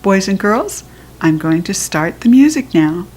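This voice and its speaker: A woman voicing an orderly cake lady running a children's game in a storybook, announcing loudly and deliberately. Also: mid-range voice, very animated, a little hiss or hum. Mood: instructing. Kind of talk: children's storybook character reading.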